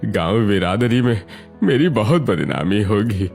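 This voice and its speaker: Fretful low-voiced old Indian father-in-law, a man acting. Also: sing-song, a little slow, gravelly, a little mellow, faint music behind, full character voice. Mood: pleading, alarmed. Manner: fretful, emphatic. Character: anxious, warning old father-in-law of a village family.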